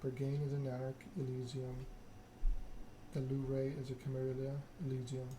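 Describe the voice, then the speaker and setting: A soft-spoken male game streamer talking in an ASMR style, measured and a little halting. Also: mid-range voice, completely flat delivery, background noise.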